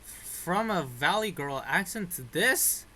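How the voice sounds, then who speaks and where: Male gamer, casual halting commentary, sharp bright voice over a faintly noisy background. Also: sits high, lively intonation. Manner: halting, searching for words. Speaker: gamer reacting to game story.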